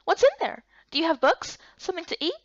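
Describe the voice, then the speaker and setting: Bubbly young fantasy-game chatterbox, very high and swooping, dull dry recording. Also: female voice, expressive, no room sound. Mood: excited, concerned. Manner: bubbly, rapid questions. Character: excitable, chatty, kind-hearted young fantasy game character.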